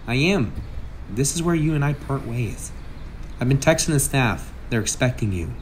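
A man's voice: mid-range voice, lively intonation, moderate pace, slight background noise, natural voice. A man playing a brash, sarcastic masked antihero with a kind streak, in a theatrical comic book dub. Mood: sober, gentle.

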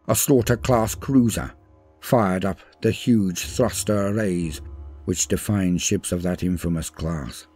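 A man reading a scripted war story as a dramatic narrator, grave and theatrical, in measured phrases. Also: lowish voice, darkish tone, husky. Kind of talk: dramatic scripted lore read-through. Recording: voice-over with music behind. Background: music.

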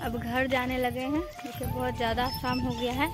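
A young woman with a high, bright voice talks casually to her viewers while walking through village fields. She holds the phone herself, and loud background music plays under her chatter. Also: level tone, moderate pace. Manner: chatty, keeps addressing viewers as friends. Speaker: vlogger. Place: outdoors in village fields at dusk. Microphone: phone camera held in hand.

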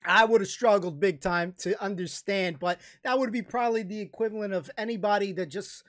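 Bright-voiced, effusive man. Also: sits high, fairly quick. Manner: animated, effusive. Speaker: reaction video host. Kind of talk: casual excited reaction commentary. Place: small room at home, indoors.

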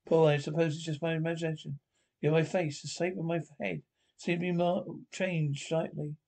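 A man acts out a troubled young man in a radio horror play, a test subject who stays resolute through horror. The voice is mellow and gravelly, and the lines come out haltingly. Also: on the high side, little pitch movement. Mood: troubled, uneasy. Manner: halting, stumbling delivery. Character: troubled young man, son turned experiment subject, horrified yet resolute. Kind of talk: amateur reading of 1940s radio horror script.

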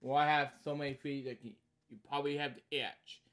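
A man in his thirties hosting a bedtime story series, reading a children's book aloud in short halting lines with casual, repetitive asides. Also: medium pitch, fairly even intonation, fairly bright tone. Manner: halting, repeating stock phrases between short read lines. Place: small indoor room at home.

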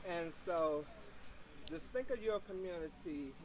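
A woman's voice: fairly low pitch, animated, a little slow, crowd noise. A woman interviewing someone outdoors, asking friendly, loosely phrased questions with plenty of fillers. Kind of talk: casual street interview. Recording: noisy outdoor recording, mic off-axis.

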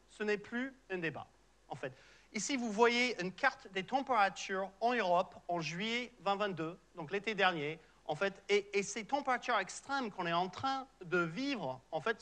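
A man's voice: sits high, fairly bright tone. A middle-aged man from Canada, a native English speaker with an English-Canadian accent, giving a popular science lecture in a large auditorium, explaining patiently with frequent fillers and restarts. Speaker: researcher giving a public lecture.

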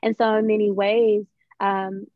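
A woman's voice: mid-range voice, level tone, unhurried, no echo. Young woman on a muffled video call. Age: young adult. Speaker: guest playwright and poet. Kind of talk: reflective personal storytelling. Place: small room at home, on a video call.

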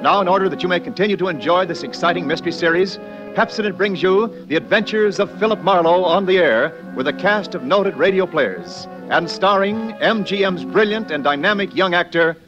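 A man's voice: high-pitched, fairly quick. A man announcing a sponsored program in an emphatic, declamatory 1940s radio style. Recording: muffled 1940s radio, music behind.

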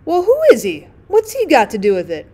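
A woman voicing a jocular traveling salesman who grows anxious, talking loudly and high. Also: wildly swooping pitch. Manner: chatty, quick to grow anxious.

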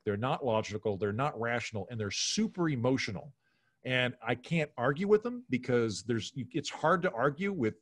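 A man's voice: medium pitch, slightly rough, no echo. American man talking casually, dry close sound. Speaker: podcast guest, comedian. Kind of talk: casual opinionated conversation. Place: home room on a video call.

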